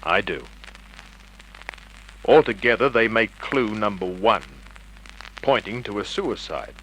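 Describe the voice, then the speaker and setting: A tough, forceful hardboiled private eye. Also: male voice, lowish voice, expressive, slow, rough recording. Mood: cool, controlled. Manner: clipped, commanding, wry. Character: tough, cool, wisecracking male private investigator. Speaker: lead actor and narrator. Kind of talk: 1950s radio crime drama acting, hardboiled narration. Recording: old radio recording with hiss.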